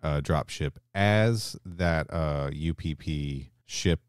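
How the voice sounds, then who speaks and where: Male podcast co-host, extremely deep voice, loose and emphatic conversational delivery, very little room sound. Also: takes it slowly, clean tone, no echo, clean studio sound. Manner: emphatic, casually sweary. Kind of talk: casual discussion and film plot recap.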